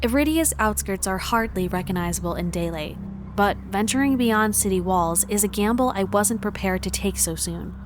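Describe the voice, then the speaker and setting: A woman playing a wary, determined traveler, giving measured, introspective first-person narration in a visual novel fan dub. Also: mid-range voice, noisy background, lightly acted. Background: music.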